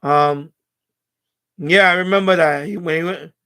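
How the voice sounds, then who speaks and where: A man's voice: on the high side, fairly even intonation, measured pace, bright, forceful tone. A man hosting a live stream in casual, rambling, unfiltered chat with his viewers. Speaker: livestream host.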